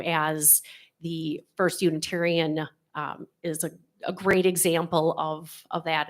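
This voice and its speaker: A woman, the congregation's president, giving an earnest announcement to the congregation with many pauses and fillers. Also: on the low side, fairly bright tone. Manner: earnest, with many ums and restarts.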